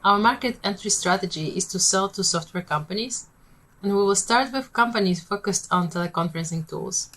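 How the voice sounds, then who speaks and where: A woman with a Polish accent, a startup founder giving a rehearsed pitch in a measured, steady way.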